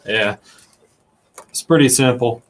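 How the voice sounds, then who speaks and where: A man's voice: mid-range voice, takes it slowly. Male guest artist, casual chat.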